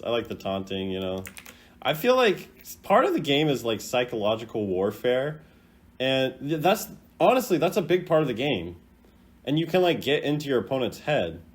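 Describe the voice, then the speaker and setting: Animated man chatting casually about games. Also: fairly low pitch. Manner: animated, acts out imagined quotes in exaggerated voices. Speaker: gaming content creator. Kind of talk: casual chatty commentary on game voice lines.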